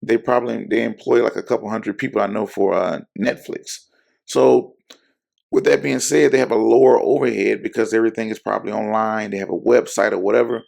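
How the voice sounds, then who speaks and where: Hoarse, gravelly-voiced man hosting an investing show. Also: lowish voice, steady tone, fairly quick, darkish tone. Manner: rambling, full of fillers and self-corrections. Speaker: investing channel host. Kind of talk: casual talk to camera.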